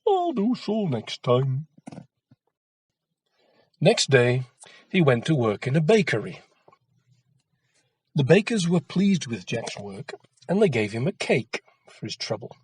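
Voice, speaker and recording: Male folktale narrator for children: measured, expressive, husky, recorded close and dry. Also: medium pitch, dry recording. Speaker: storyteller.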